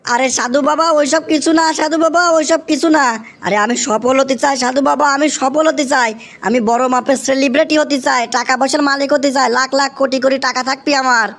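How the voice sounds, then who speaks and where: A man with a Bangladeshi accent voicing an excitable young cartoon bachelor desperate for fame, whiny and pleading, repeating himself emphatically. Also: extremely high pitch, moderate pace, creaky, exaggerated voice. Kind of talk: exaggerated comic cartoon acting.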